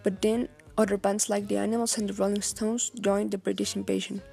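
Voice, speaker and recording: A woman's voice: mid-range voice, flat delivery, a little fast, vocal fry, dark tone, slightly rough, soft background music. A woman reading scripted documentary narration for a newsreel.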